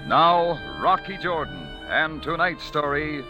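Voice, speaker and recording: A man's voice: on the high side, very animated, slow, highs cut off, music in the background. A male radio announcer in a formal, declamatory style introducing a 1940s drama.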